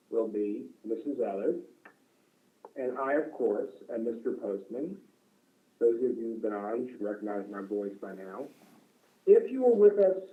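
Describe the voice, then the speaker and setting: A man's voice: medium pitch, phone-quality sound. A man hosting a live online story broadcast for children, addressing the young listeners directly.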